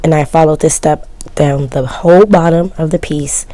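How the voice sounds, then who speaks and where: A woman narrating her sewing project in a casual, chatty voice-over, her voice worn and cracking from yelling, with self-mocking, shrugging asides. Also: low-pitched, fairly even intonation, moderate pace, dry recording. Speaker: sewing vlogger.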